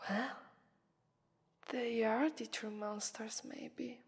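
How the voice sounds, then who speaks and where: A woman with an Italian accent voicing a haughty, taunting vampire mistress in an ASMR roleplay, breathy, condescending and often whispering. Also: on the high side, fairly even intonation, extremely slow, full character voice. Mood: scornful, knowing. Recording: long reverberant echo.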